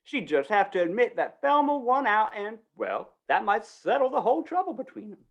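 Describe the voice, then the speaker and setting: A woman's voice: a little high, very animated, moderate pace, highs cut off. Woman playing swooping, flustered Western undertaker. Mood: wheedling. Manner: stammering, pleading, rustic grammar. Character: flustered, wheedling small-town undertaker, a folksy Western man. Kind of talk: 1950s Western radio drama acting.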